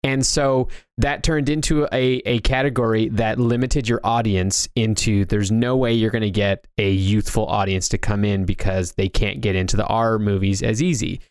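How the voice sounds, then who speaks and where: A man's voice: fairly low pitch, fairly even intonation, smooth, no room sound. A man talks in a loose, conversational way as a podcast co-host. He rambles and circles back to restart his sentences, and the sound is dry and close, with little room to it. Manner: rambling, halting, restarts thoughts often. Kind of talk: casual discussion of movies and games.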